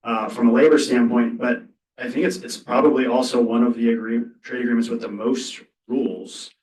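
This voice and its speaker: A man's voice: medium pitch, fairly even intonation, moderate pace, darkish tone. Man on a panel, echoey and distant. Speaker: trade lawyer on a panel. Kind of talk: spontaneous panel discussion answer. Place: indoor university event hall. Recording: echoey room, distant mic.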